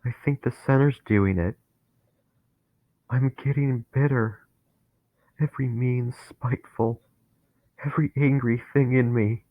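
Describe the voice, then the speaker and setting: A man voicing a dying young man who is terrified and bitter, talking in a strained, pleading way that rises to anguished shouts. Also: fairly low pitch, steady tone, slow pace, gravelly, pauses often, no echo, exaggerated voice. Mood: growing dread. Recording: tinny phone-like, dry sound.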